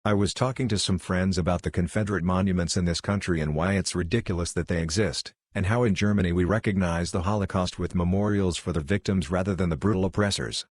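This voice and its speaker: Low husky guy reading reddit stories, dry close sound. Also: quick pace, few pauses, no echo. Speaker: reader of forum posts.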